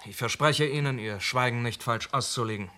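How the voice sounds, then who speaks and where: An actor in a radio play playing a police inspector who speaks formally, politely but firmly. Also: male voice, mid-range voice, a little fast, pushed and bright, natural voice. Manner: formal, courteous, firm.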